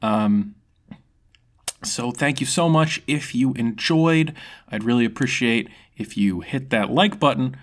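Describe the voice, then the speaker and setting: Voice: mid-range voice, slow, slight background noise. A storyteller narrating their own fantasy tale, sometimes dramatic and sometimes casually addressing listeners. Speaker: storyteller and channel creator.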